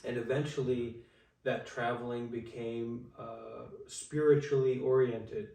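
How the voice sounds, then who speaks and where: Man in his twenties, flat and roomy. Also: mid-range voice, gravelly. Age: 20s. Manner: halting, many fillers and restarts. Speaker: interview guest, Buddhist monk. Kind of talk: casual storytelling in an interview. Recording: echoey room, far from the mic.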